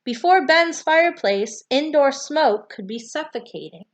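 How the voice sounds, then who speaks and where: A woman's voice: sits high, lively intonation, unhurried. A woman reading a children's nonfiction book aloud as narration.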